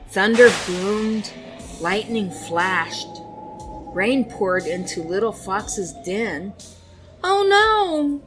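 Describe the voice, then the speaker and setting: A woman narrating a children's storybook with expressive, deliberate phrasing. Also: mid-range voice, over music.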